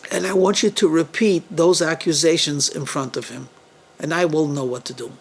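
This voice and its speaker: A man voicing a shrewd, stern rabbi in a told story, speaking with deliberate authority. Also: a little high, fairly even intonation, gravelly, breathy edge. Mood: calm, persuasive. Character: shrewd, commanding male rabbi, stern judge of wrongdoers.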